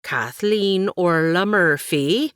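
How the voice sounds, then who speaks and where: A woman's voice: mid-range voice, big pitch swings, a little slow, no room sound, studio quality, pitched above the performer's own voice. A woman voicing a widowed aunt with an Irish accent, shrewd and stern yet kind. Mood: probing. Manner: probing, lilting Irish turns of speech.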